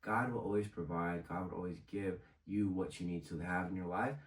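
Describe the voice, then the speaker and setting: A young man with a low, slightly raspy voice talks casually and encouragingly, like a motivational video host. He sounds set back from the microphone in an echoey room. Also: steady tone, brisk, distant mic. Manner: conversational, encouraging. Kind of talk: casual motivational vlog talk.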